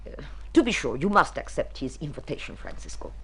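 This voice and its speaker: A woman's voice: sits low, sing-song, brisk, a bit bright, slightly rough, full character voice. A woman playing a devoted, aristocratic Spanish mother in a radio drama, speaking formally and with feeling. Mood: concerned, gracious. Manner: formal, stilted phrasing, emotional.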